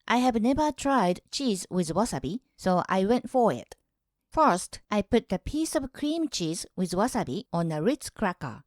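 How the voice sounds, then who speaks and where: A woman from Japan, hosting her own channel in calm, measured scripted narration. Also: mid-range voice, no echo, clean studio sound. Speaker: host.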